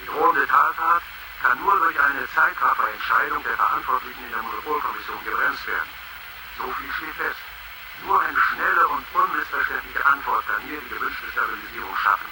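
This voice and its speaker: A man's voice: medium pitch, a little fast, noisy background, natural voice. A man playing a sensational financial radio reporter in a science fiction radio play, with urgent, rhetorical delivery. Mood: urgent reporting. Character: dramatic male financial news radio reporter.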